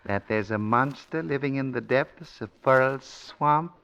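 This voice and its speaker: A man's voice: medium pitch, unhurried, creaky, fairly bright tone, clean tone. An actor playing a greedy, secretive, irritable man in a 1940s radio drama, brooding, snappish and muttering. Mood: suspicious, irritable. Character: Hugo, greedy secretive irritable man hiding on swamp houseboat. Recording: noisy, dull 1940s radio recording.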